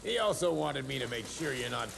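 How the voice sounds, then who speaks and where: An actor playing a glib middle-aged male government official giving a halting speech to a room. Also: mid-range voice, animated, creaky, background noise. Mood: genial. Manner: halting, folksy speech-maker.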